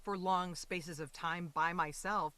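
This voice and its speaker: A woman's voice: on the low side, fairly even intonation, a little slow, sharp tone, dry recording. A woman talking casually and animatedly as an interview guest on a podcast, telling her own stories.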